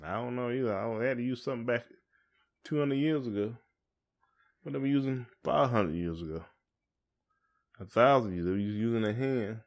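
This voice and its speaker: A man's voice: medium pitch, normal speed, long pauses. A man with a Southern US accent chatting casually as a podcast co-host, in a folksy, joking way. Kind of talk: casual chat.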